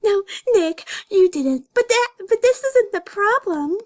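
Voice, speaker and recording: A woman's voice: very high-pitched, animated, a little slow, a little mellow, voice pushed up. A woman voicing an earnest, anxious female cartoon rabbit from a fan comic, pleading and melodramatic. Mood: distressed, pleading.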